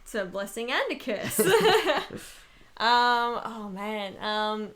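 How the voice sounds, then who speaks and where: A woman's voice: a little high, lively intonation, slow pace, a bit bright. A woman speaking casually as an interviewed voice actor, in a giggly, informal, rambling way.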